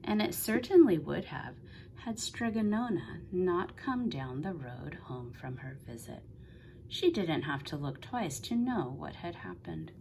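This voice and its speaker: Low-voiced woman reading a storybook. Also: very animated, unhurried, gravelly, a little mellow, slight background noise, rough recording. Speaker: storybook reader. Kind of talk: expressive read-aloud storytelling.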